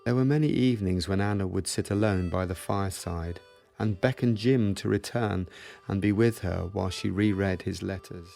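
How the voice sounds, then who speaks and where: A man giving solemn, deliberate, gravelly dramatic narration of a war tragedy for a radio play. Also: low-pitched, dark tone, faint music behind.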